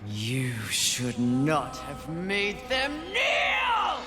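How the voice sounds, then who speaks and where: A woman playing a fierce, suspicious horsewoman warrior in a video game, forceful and confrontational. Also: fairly low pitch, huge pitch swings, very slow, in a large hall, exaggerated voice. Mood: defiant anger. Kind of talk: video game cutscene acting. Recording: loud music behind.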